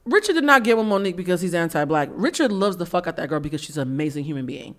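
A woman's voice: lowish voice, animated, very fast. A woman in her forties from the East Coast of the United States, hosting a candid podcast conversation and telling stories in an emphatic, opinionated way. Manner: emphatic, opinionated storytelling with acted-out quotes. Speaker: podcast host.

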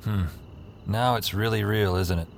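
An actor playing a weary, self-mocking noir hero, narrating in the first person in an audio drama with a dry, wry manner. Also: male voice, on the low side, steady tone, measured pace, creaky, a little hiss or hum. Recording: voice over music.

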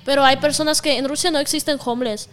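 A woman's voice: high-pitched, fairly even intonation, fast talker, fairly bright tone. A young woman from Russia, a native Russian speaker, chatting frankly as an interview guest with lots of fillers. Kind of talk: casual interview chat.

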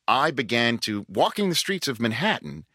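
Animated man from New York, hosting. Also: mid-range voice, normal speed, no echo, clean studio sound. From New York, USA. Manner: animated, repeats himself, fond of anecdotes. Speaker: podcast host. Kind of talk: casual interview chat. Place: small curtained-off podcast room.

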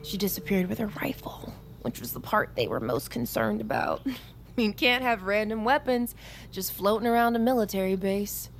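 An actress playing a cocky, irreverent young army recruit in a naturalistic audio drama, sardonic and flippant. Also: female voice, mid-range voice, expressive, moderate pace, a little hiss or hum, close to an everyday voice. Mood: wry, teasing. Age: young adult.

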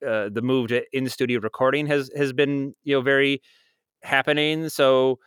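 A man's voice: medium pitch, normal speed, clean tone, no room sound, clean studio sound. A middle-aged American man telling anecdotes in a casual interview, rambling and acting out quotes as he goes. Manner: animated rambling storyteller, acts out quotes. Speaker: audio drama producer, guest.

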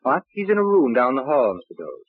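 A commanding police inspector in an old radio crime drama. His husky voice swings through firm, clipped phrases. The sound is narrow and muffled. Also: mid-range voice, big pitch swings, fairly quick. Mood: brisk, stern. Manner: authoritative, clipped, matter-of-fact. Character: brisk, commanding male police inspector questioning suspects. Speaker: actor. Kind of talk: 1940s radio drama acting.

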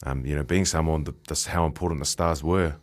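A young New man, a professional rugby player, chatting as a radio guest in a halting way and repeating his words. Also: a bass voice, steady tone. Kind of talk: casual radio chat.

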